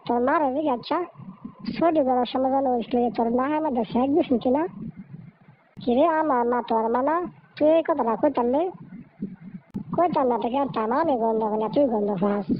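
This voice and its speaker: Cartoon squabbling little bird boy, played by a woman: high, argumentative, rural Bangladeshi Bengali accent, muffled lo-fi sound. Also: fairly quick, pauses often, close to an everyday voice. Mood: quarrelsome. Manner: complaining, argumentative. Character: squabbling young bird brother, hot-tempered, whining. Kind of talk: cartoon voice acting. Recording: dull lo-fi audio with noise.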